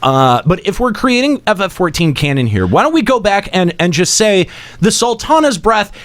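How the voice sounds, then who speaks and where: A man with a notably high voice and lively, varied intonation chats as a co-host. His delivery is sarcastic and joking. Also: moderate pace. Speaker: podcast co-host. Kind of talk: casual chat.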